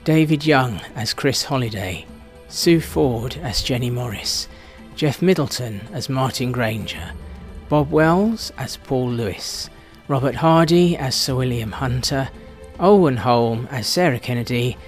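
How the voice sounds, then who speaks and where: A man's voice: medium pitch, lively intonation, a little slow. A man announcing a radio drama's cast list in a scripted read-out. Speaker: credits announcer. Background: music.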